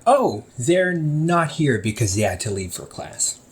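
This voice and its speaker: A relaxed older brother who runs a hobby shop, chatting and ribbing his sibling in an audio roleplay, acted by a man. He takes his time with each line, but his voice swings up and down in a lively, playful way. Small laughs keep slipping into his talk. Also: mid-range voice, a little slow. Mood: casual. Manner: chatty, teasing, laughs often. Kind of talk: one-sided audio roleplay to the listener.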